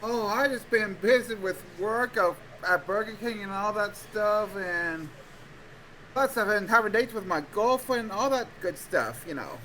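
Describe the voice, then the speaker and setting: A man's voice: lively intonation, unhurried, sharp tone, a little hiss or hum, exaggerated voice, rough recording. A man voicing a chipper, friendly young male game-show contestant who giggles as he talks. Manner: giggly, friendly, high-pitched.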